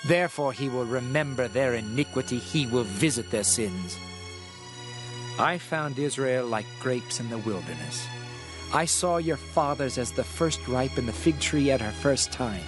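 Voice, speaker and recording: A man's voice: mid-range voice, a little slow, music in the background, lightly acted. A man playing a stern prophet who speaks for an angry God, declaiming scripture solemnly in a dramatized reading. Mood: grave, condemning.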